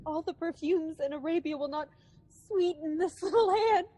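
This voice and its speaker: A woman's voice: very high voice, moderate pace, warm tone. A woman playing a guilt-racked, imperious sleepwalking noblewoman in a Shakespearean radio drama, muttering in fragments with sudden outbursts. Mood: haunted, urgent.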